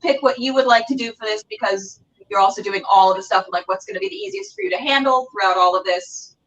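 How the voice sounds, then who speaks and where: A woman's voice: mid-range voice, animated, quick pace, fairly bright tone, highs cut off. A woman hosting a casual interview, chatting in an informal, effusive way. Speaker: interviewer and show host. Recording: distant mic with background noise.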